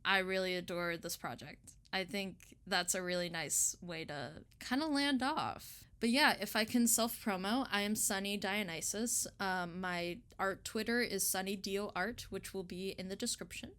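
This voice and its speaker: A woman's voice: medium pitch, moderate pace, hardly any pauses, a bit bright. A woman in a casual online interview, chatting effusively and giggling, with some casual swearing. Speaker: interviewer and artist. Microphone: online voice call.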